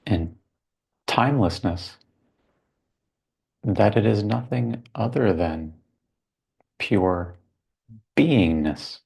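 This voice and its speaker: A man leading a guided meditation as a teacher, speaking contemplatively in short phrases with long pauses between them. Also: fairly low pitch, animated, gravelly, darkish tone, breathy edge.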